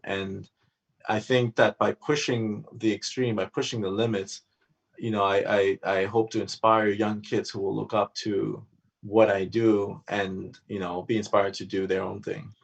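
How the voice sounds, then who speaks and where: Man, gravelly low voice, distant on a video call, halting with many fillers. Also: flat delivery. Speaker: panel guest, adventurer. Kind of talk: casual panel talk.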